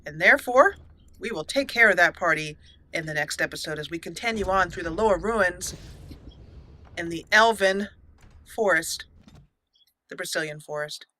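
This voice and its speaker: A woman's voice: lowish voice, expressive, normal speed, long pauses, pushed and bright. A woman hosting a let's play, chatting through her gameplay in an upbeat way and reading the game's text aloud as roleplay narration. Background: faint game music.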